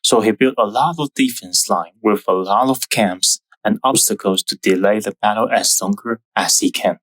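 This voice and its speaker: Low-voiced Taiwanese-accented man explaining history. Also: a bit bright, poor audio. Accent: Taiwanese Mandarin. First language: Mandarin. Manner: careful, measured explaining with non-native phrasing. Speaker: history channel host. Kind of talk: scripted narration.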